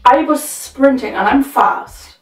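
A woman's voice: high-pitched, takes it slowly, far from the mic. A woman in her twenties chatting casually as a guest, recorded in a small room. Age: 20s. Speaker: guest, friend of the host. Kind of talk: casual chat. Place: small bedroom indoors. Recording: roomy echo, off the mic, faint music.

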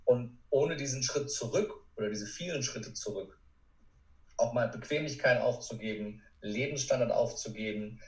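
Male coach, middling-low pitch, earnest and emphatic podcast guest, roomy echo. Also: normal speed. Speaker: interview guest, motivational speaker and coach. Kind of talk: reflective personal account in a podcast interview. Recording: roomy, echoing sound.

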